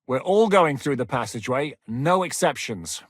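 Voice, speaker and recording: An actor voicing a seasoned, commanding male war captain in a video game, blunt and quick to bark orders. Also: medium pitch, lively intonation, no room sound, clean studio sound, natural voice. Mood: calm. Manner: barks battle orders, brash and blunt. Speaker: military commander.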